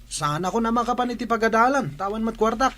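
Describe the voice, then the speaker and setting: An actress playing a spoiled, money-minded student in an Ilocano radio drama, with a whiny, questioning delivery. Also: female voice, on the high side, lively intonation, fast talker, fairly bright tone, a little hiss or hum. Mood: whiny worry.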